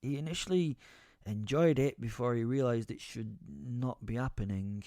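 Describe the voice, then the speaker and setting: A man's voice: medium pitch, normal speed, dark tone, no room sound, studio quality, close to an everyday voice. A man playing a brooding, exasperated werewolf who tells his own story as theatrical first-person audio drama narration. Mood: bemused. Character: brooding, foul-mouthed male werewolf, seething with helpless rage.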